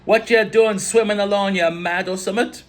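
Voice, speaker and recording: A man voicing a friendly, scolding Caribbean islander in a storybook reading, with a put-on Caribbean accent and a loud, blunt, emphatic way of talking. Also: a little high, moderate pace, voice pushed up, lightly acted. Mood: alarmed scolding. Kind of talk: storybook character voice in a memoir reading.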